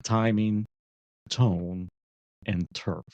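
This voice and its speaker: A man co-hosting a casual advice talk, explaining things deliberately and checking in with his listener, on a slightly muffled recording. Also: on the low side, steady tone, a little mellow, dry recording. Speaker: podcast co-host.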